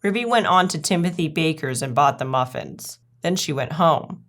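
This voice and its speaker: A woman with a low voice narrates a children's story. She reads the scripted tale aloud as a storyteller. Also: lively intonation, normal speed, fairly bright tone.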